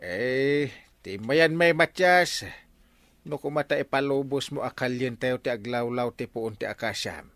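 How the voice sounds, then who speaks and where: A man with an Ilocano accent playing an excitable, scheming village captain in a Filipino comedy radio drama. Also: mid-range voice, unhurried, no room sound. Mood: scheming, eager. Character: excitable scheming male village captain chasing buried riches.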